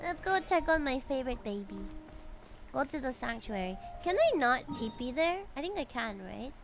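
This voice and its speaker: A woman's voice: high-pitched, sing-song, normal speed, over music. A woman giving casual livestream commentary as she plays a game, with game sounds behind her. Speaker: game streamer.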